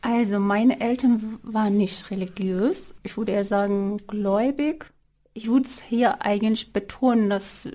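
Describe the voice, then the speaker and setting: A woman's voice: mid-range voice, mellow. A woman from Iran with an Iranian accent, explaining things as a guest in an interview.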